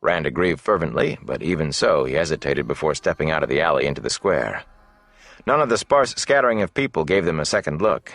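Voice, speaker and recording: Low-voiced male audiobook narrator, measured. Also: lively intonation, vocal fry, fairly bright tone, dry recording. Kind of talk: scripted fantasy novel narration. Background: faint music.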